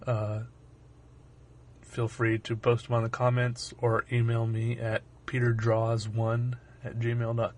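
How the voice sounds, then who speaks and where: A young man with a sore, hoarse throat, narrating his own art video in a rambling, wry, self-deprecating way. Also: medium pitch, a little hiss or hum. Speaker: artist and channel host. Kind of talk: casual voice-over narration.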